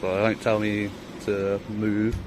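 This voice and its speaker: A man's voice: on the low side, completely flat delivery, slow pace, noisy background. A man with a British accent films officers on a public footpath and questions them persistently about his rights. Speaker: member of the public filming officers. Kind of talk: confrontational street exchange.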